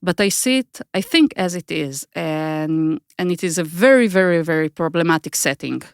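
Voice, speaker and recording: A woman's voice: fairly low pitch, lively intonation, moderate pace, clean tone, no room sound, clean studio sound. A middle-aged woman with an Israeli accent, a native Hebrew speaker, answering interview questions thoughtfully and searching for her words. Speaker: guest, author.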